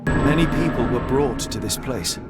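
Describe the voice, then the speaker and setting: An actor playing a cool, cerebral detective in a video game, with a British accent and precise, theatrical, superior delivery. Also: male voice, medium pitch, animated, moderate pace, loud music behind the voice, close to an everyday voice. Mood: grave, intrigued. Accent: British English. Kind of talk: dramatic video game cutscene acting.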